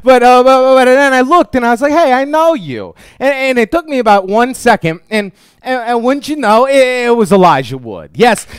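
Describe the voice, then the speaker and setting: Animated, high-voiced man telling a story. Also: normal speed, clean tone, no echo, clean studio sound. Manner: animated, exclamatory, self-mocking with stuttered repetitions. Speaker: YouTube show host. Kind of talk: casual storytelling to camera.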